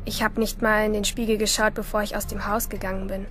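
A woman's voice: medium pitch, little pitch movement, fast, music in the background, lightly acted. An actress playing a moody, infatuated teenage schoolgirl, narrating inwardly and intimately in a radio play. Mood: dreamy, observant. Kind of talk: first-person radio play monologue.

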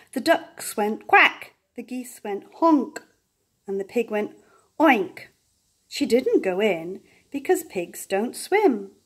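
An older woman reading a children's picture book aloud, with expressive emphasis and playful animal sounds. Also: medium pitch. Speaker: storyteller. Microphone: camera above the book on a table.

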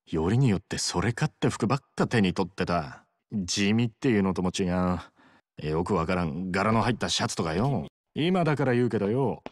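A male actor voicing a cocky, teasing, loyal young yakuza in a Japanese video game, talking in rough slang. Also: fairly low pitch. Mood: casual, teasing. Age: young adult.

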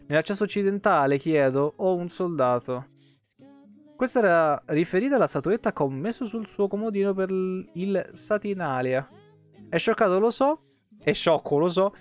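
A man in his thirties with a tiring voice, reading game lore aloud on a livestream with casual asides. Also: high-pitched. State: voice tired and giving out. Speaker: game streamer. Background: soft plucked guitar music.

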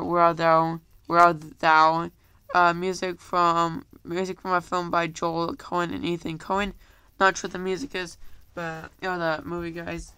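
A man's voice: high-pitched, pushed and bright, clear, resonant tone, no echo. A man with a cold, rambling casually through a video monologue, full of fillers and apologies and constantly addressing viewers as "guys", recorded on a handheld camera at home. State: has a cold. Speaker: YouTuber.

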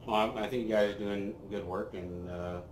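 A man's voice: steady tone, normal speed. A young man appearing as a guest, chatting in a laid-back way with short replies on an outdoor patio. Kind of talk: casual chat. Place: outdoor patio by a brick wall. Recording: roomy sound with clear background rumble.

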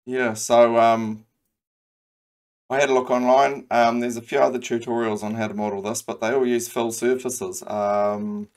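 A man with a New Zealand accent talking through a software modelling tutorial, thinking aloud with frequent ums and restarts. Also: mid-range voice, level tone, normal speed. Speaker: CAD design tutor. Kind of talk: screen-recorded software tutorial.

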